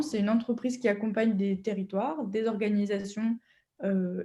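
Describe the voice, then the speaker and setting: A woman's voice: medium pitch, warm tone. Hesitant woman hosting a webinar. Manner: hesitant, many fillers. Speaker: webinar host. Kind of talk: webinar moderation.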